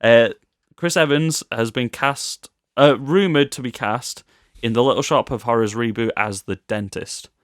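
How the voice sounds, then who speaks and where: A man's voice: mid-range voice, a little slow, dry recording, studio quality. A British man co-hosting a podcast, chatting casually about film news with playful, joking asides. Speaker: podcast co-host.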